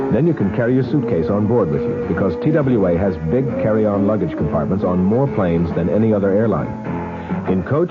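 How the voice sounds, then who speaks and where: A male announcer reads an old radio commercial with smooth, reassuring polish. His voice is deep, with loud music under a dull broadcast sound. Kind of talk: 1970s radio commercial read.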